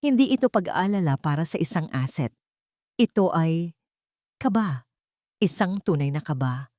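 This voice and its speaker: A woman with a Filipino accent narrating a scripted romantic drama story in a dramatic, measured storytelling manner. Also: on the low side, animated, dark tone, no room sound, studio quality.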